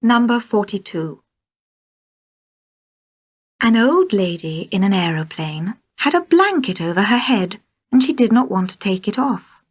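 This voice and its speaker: A woman's voice: mid-range voice, lively intonation, unhurried, long pauses, breathy edge. A woman reading a short tale as scripted narration. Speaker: storybook reader.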